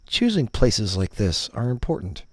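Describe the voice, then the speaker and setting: A man narrating a scripted parody guided meditation, giving instructions in a deadpan, condescending manner. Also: fairly low pitch, unhurried, husky, no echo, clean studio sound.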